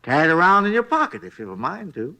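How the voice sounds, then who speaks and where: Folksy male night clerk, 1950s radio drama: lively swings in pitch, easily sidetracked, rustic drawl. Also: vocal fry, full character voice. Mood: chatty, proud. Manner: rambling, folksy, unhurried storytelling. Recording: vintage 1950s radio recording.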